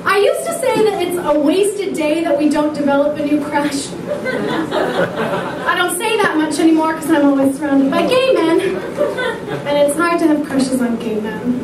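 A woman's voice: high-pitched, lively intonation, unhurried, over a noisy crowd. A young woman doing cabaret patter between songs, wry and self-mocking, laughing through her stories, recorded from far off in a small room with a piano behind. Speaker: cabaret singer and performer. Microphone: handheld mic on stand, filmed from far camera. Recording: echoey room, taped from far off. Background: piano playing.

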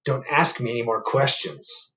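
A man's voice: medium pitch, steady tone, normal speed, pushed and bright. A man voicing a shifty rabbit thief in a picture book, protesting defensively.

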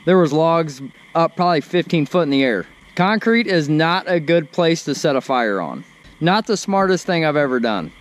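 A man's voice: a little high, moderate pace, a little hiss or hum. A man, giving casual commentary while catching catfish on a riverbank at night. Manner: excited, talks while reeling in fish. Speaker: fishing vlogger. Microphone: action camera, sometimes far.

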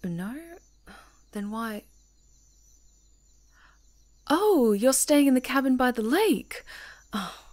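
A woman voicing a friendly young woman camping alone on a retreat, chatty and teasing and quick to laugh, in a scripted audio roleplay. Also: mid-range voice, lightly acted. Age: young adult. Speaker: ASMR roleplay performer.

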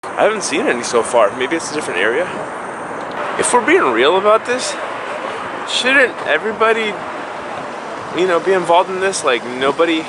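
A man's voice: fairly high pitch, very noisy. A man in his thirties, from Chile, rambling casually as he vlogs with a handheld camera on a city street at night. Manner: rambling, musing aloud. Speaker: vlogger. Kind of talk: casual vlog talk. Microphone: handheld camera mic at arm's length.

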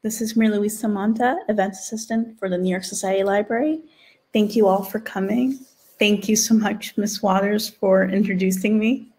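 A woman's voice: mid-range voice, fairly even intonation, moderate pace, a little mellow. A woman working as an events assistant, moderating a question session and reading out questions.

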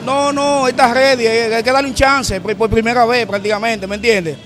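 A man's voice: very high-pitched, steady tone, normal speed, a bit bright. A middle-aged man with a Dominican accent chatting casually on the street, repeating himself and checking he is understood. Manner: repetitive, keeps checking he is understood. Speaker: passerby interviewee. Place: outdoors on a sidewalk by a shop. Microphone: interviewer's handheld microphone.

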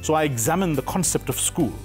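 A man's voice: fairly high pitch, animated, fast, a little mellow. South african guy, middle aged, explaining his theatre work, articulate, music behind him. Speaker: playwright and theatre director. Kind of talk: interview answers and explaining. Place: theatre auditorium and stage. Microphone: lapel mic.

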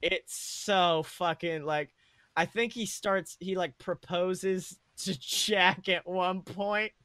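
Bright, higher-pitched man podcasting. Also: fairly even intonation, slow, no room sound. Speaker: podcast co-host. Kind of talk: comedic fan fiction narration and casual chat.

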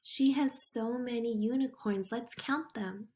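A woman reading a picture book aloud to young children in an expressive, deliberate storytelling manner. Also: fairly high pitch. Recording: music behind the voice. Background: music.

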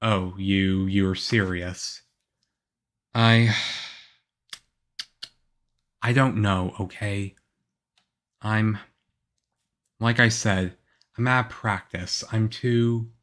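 A man playing a shy, moody, lonely young half-vampire boyfriend in an ASMR roleplay, with a hesitant, halting, earnest delivery. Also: on the low side, steady tone. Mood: hesitant, touched.

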